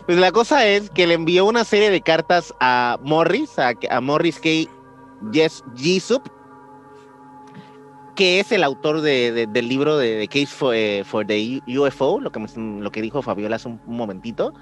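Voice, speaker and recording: A Mexican man walking through what he found in a loose, chatty podcast style, full of casual slang. His voice is a touch high, with faint music behind it. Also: normal speed. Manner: relaxed explainer, loose and chatty. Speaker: podcast co-host. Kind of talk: casual chat.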